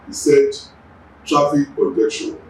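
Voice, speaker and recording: A man's voice: fairly high pitch, completely flat delivery, distant mic, a little hiss or hum. Nigerian man answering from off-mic in an echoey room. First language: Yoruba. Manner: deliberate, repeats words while gathering thoughts. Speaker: traffic agency general manager. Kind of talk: measured official interview answers.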